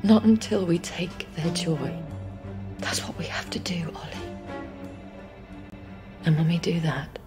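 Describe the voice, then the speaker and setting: A woman's voice: lowish voice, a little slow, pauses often, whispered, music in the background, lightly acted. An actress playing a desperate, bitter British woman official in a dramatic video game. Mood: resigned, grave.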